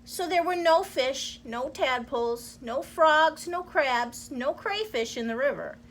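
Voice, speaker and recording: A woman reading a children's storybook aloud in an expressive, deliberate storyteller's manner. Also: sits high, slight background noise.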